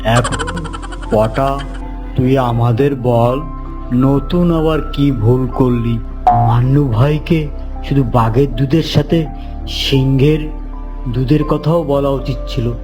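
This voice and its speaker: A man voicing a whiny, bickering young friend in a children's fairytale cartoon, in an exaggerated, drawn-out way. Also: mid-range voice, lightly acted. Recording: loud music behind the voice.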